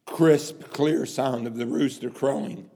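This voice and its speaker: A man preaching a sermon, dramatic, swinging from hushed lines to loud emphasis and asking rhetorical questions. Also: mid-range voice, steady tone, takes it slowly, vocal fry, breathy edge. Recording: long hall reverb.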